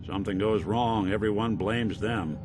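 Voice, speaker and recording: An actor with an American accent playing a world-weary, wry android private detective in a video game, in a hard-boiled noir delivery. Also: male voice, on the low side, moderate pace, music in the background, natural voice. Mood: grim, explaining.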